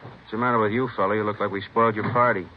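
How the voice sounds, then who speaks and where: A man's voice: on the low side, fairly even intonation, racing, natural voice. A man playing a brusque, taunting robbery squad policeman in a 1950s radio crime drama. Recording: dull, noisy old radio recording.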